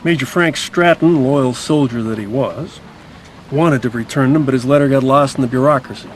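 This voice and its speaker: A man acting as an officious American government envoy in an old radio mystery. His medium-pitched voice is formal and patronizing. The recording is slightly noisy. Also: moderate pace, close to an everyday voice. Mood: polite, officious.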